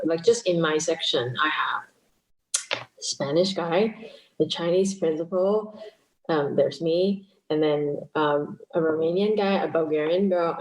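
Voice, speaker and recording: A Cantonese-speaking woman from chats casually in English, her voice sitting a bit low. She laughs often and pokes fun at herself. The room around her rings with echo. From Hong Kong. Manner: chatty, laughs often, self-deprecating. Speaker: guest musician. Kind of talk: casual interview chat.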